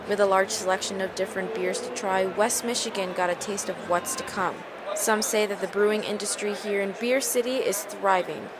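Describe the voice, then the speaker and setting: A woman's voice: medium pitch, fairly even intonation, moderate pace, few pauses, heavy background noise. A young American woman reading scripted news reports as a TV reporter.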